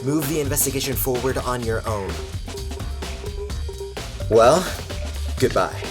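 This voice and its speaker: A man's voice: mid-range voice, slow pace, music in the background, close to an everyday voice. Man acting a haughty, condescending elite schoolboy. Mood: dismissive. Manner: condescending, curt. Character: haughty, cold, dismissive elite male high-school student. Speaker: voice actor in a fan dub. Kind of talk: game dub dialogue.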